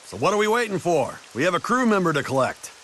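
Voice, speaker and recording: A man's voice: high voice, very animated, quick pace, slight background noise, rough recording. A man playing a plain-spoken, action-ready crewmate in a fantasy game, with a casual manner. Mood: determined. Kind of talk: anime fantasy game voice acting. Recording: loud music behind the voice.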